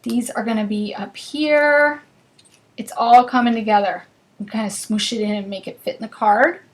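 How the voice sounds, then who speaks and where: A woman with a northern US accent hosts a live card-making class. She talks in a chatty, bubbly way and chats with viewers while she works. Her voice sits a little higher than most. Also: fairly even intonation, moderate pace. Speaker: craft class host. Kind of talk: live crafting demonstration.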